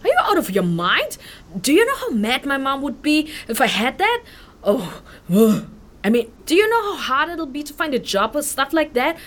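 A woman playing a sassy, excitable young village girl in a fantasy audio drama, speaking informally and protesting freely. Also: sits high, normal speed. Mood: indignant.

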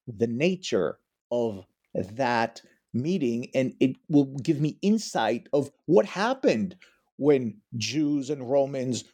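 A man's voice: mid-range voice, darkish tone. A middle-aged man from Israel, a history professor giving long explanatory answers in an interview.

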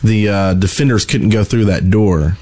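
A man's voice: fairly low pitch, moderate pace, dark tone. A man co-hosting a podcast, talking casually about gaming. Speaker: podcast co-host.

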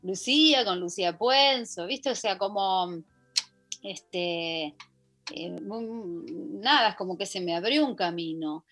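A woman's voice: mid-range voice, animated, takes it slowly, fairly bright tone, soft background music. An Argentine woman, middle-aged, chatting as a guest in a radio interview about her stage career, full of fillers and restarts. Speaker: guest, musical theatre actress. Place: radio studio.

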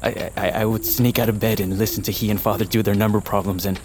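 A man's voice: fairly low pitch, level tone, fast talker, noisy background, close to an everyday voice. An actor playing a shy, earnest young nobleman with a hesitant, stammering delivery in a fantasy audio drama. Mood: wistful, earnest. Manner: hesitant, stammering, soft-hearted.

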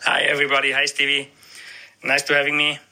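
Man, German accent, flat and gravelly, halting. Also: mid-range voice, rough recording. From South Tyrol, Italy. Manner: halting, simple phrasing. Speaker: sommelier.

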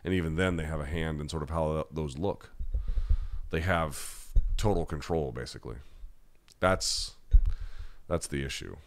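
A man's voice: very deep voice, moderate pace, long pauses. An American man hosting a live-chat podcast, answering listener questions in a blunt, conversational, opinionated way. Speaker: podcast host.

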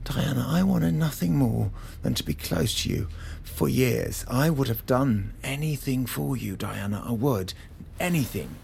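A conflicted, weary man in an audio drama. His voice is gravelly and creaky, his delivery earnest and halting, over faint noise and ambience. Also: mid-range voice, a little mellow. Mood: wounded, earnest. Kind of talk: naturalistic audio drama acting.

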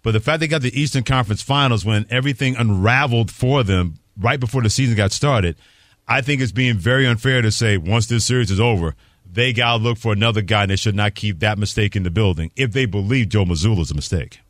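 Man, sports radio host, lowish pitch, emphatic commentary, dry close studio sound. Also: fast talker, dry recording. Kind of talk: sports talk commentary and show promos.

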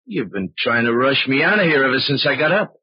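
An actor playing a stern, suspicious husband who talks bluntly in an old-time radio drama. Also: male voice, medium pitch, sing-song, brisk, breathy edge, no echo. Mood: grumbling. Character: stern, suspicious working husband, bossy but caring. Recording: dull, band-limited old radio recording.

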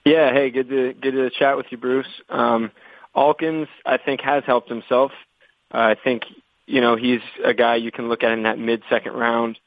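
A thin, phone-band voice with almost no room around it. An American man gives measured, conversational sports analysis on a conference call, at a middling pitch. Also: little pitch movement, no echo. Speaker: basketball draft analyst. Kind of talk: media conference call question-and-answer. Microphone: telephone. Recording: tinny, dry phone conference line.

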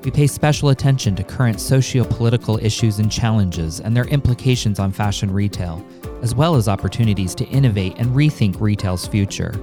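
A man reading a scripted podcast intro in a steady, formal way. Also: lowish voice, brisk. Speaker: podcast announcer. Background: music bed.

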